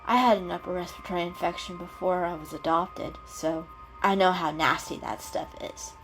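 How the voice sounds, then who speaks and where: A woman's voice: lowish voice, normal speed, soft background music, natural voice, low-quality recording. A woman voicing a caring, know-it-all female cat in a storybook, explaining patiently and kindly.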